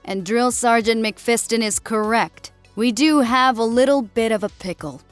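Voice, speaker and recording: A woman puts on a massive senior drill sergeant, proud and firmly in command. She projects formally, as if to rows of recruits, in a sci-fi audio story. Soft music sits behind her. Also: a little high, a little slow, dry recording, pitched above the performer's own voice, lightly acted. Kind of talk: dramatic audiobook character reading.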